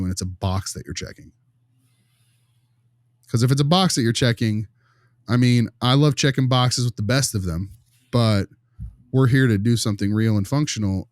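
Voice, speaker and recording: Low-voiced man in casual discussion. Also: darkish tone, dry recording. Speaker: podcast panelist, behavior specialist. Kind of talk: casual discussion and case role-play.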